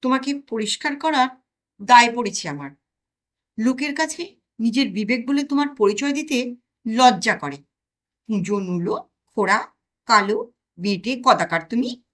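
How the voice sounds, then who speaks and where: A woman's voice: fairly high pitch, very animated, a little slow, pauses often, a bit bright, heavily acted. A woman playing an exasperated, sarcastic protagonist of a comic story, arguing in an indignant, wry way. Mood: scornful. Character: exasperated, sarcastic narrator confronted by own conscience.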